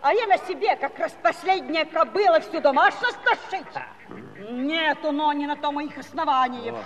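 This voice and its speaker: An actress playing a sharp-tongued, defiant Cossack wife, scolding and sarcastic in a comic radio play. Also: female voice, high voice, normal speed. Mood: indignant.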